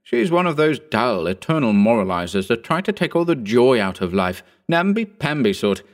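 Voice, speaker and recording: A man's voice: medium pitch, very animated, moderate pace, lightly acted. A man voicing a brash, contemptuous young man in an audiobook reading. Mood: scornful. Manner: boastful, contemptuous.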